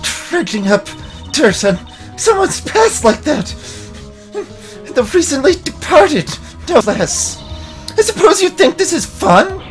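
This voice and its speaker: A man's voice: very high-pitched, sing-song, slow, faint music behind, pitched above the performer's own voice, heavily acted. A man voicing a cranky, indignant old woman security guard in a video game, scolding and sputtering melodramatically. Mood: outraged. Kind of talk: dramatic video game dub-along.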